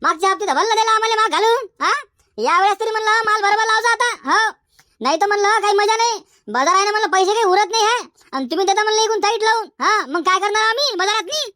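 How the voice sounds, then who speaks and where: Squeaky cartoon bazaar haggler, folksy and insistent, very high and bright, dry close sound. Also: fast, dry recording. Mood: needling banter. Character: haggling, bantering cartoon chilli-market traders. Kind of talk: comedic cartoon dialogue in colloquial rural Marathi.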